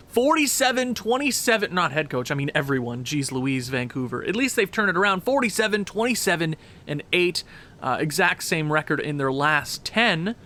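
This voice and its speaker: A man with a stuffed-up nose giving chatty, excitable commentary over a hockey video game. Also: fairly high pitch. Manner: chatty, excitable, quick asides. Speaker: gaming commentator.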